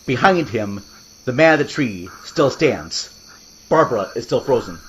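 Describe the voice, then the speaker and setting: A man's voice: mid-range voice, expressive, normal speed, noisy background. A man giving grave, theatrical scripted narration for a horror audio drama. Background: loud music and sound effects.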